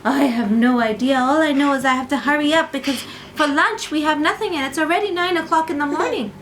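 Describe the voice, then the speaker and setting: Woman as earnest storybook child hero, high-pitched, plaintive, exclaiming, dramatic, faint background noise. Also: brisk, a bit bright. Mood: distressed. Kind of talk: bedtime storytelling.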